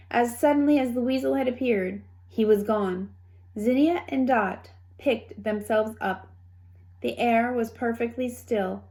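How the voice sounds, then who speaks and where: Slow, high-voiced woman reading a storybook. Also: darkish tone. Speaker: storybook reader. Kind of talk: expressive children's read-aloud narration.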